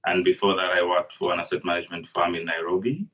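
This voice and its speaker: A young man from Kenya with a very deep, husky voice answers panel questions in a flat, measured way. The video-call audio is dull and sounds a little far from the mic. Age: young adult.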